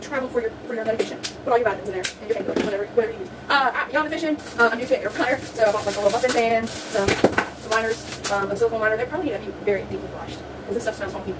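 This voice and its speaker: A woman's voice: a little high, racing. A young woman with a South Louisiana accent chatting casually through a haul video at home, rambling with lots of ums. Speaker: vlogger. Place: indoors at home, a small room with a table. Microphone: phone camera set back on the table. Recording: distant phone mic, faint room noise.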